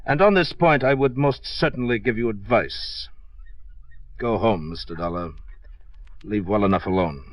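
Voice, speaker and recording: An actor playing a menacing, imperious plantation owner in a 1950s radio drama, speaking in a curt, measured, coldly threatening way. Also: male voice, fairly low pitch, dry recording, close to an everyday voice.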